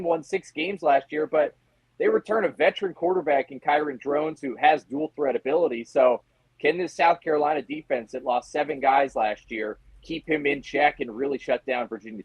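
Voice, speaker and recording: Male sports reporter, interview guest. Gravelly and rough-edged, conversational, dry recording. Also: fairly high pitch, no echo, poor audio. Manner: conversational, talks in acted-out questions and asides. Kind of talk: casual sports analysis in an interview.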